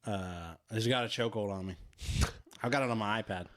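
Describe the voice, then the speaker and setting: A man's voice: on the low side, fast talker, no echo. A man co-hosting a podcast in casual, profane, joking chat. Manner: joking, profane, laughs often. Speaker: podcast co-host.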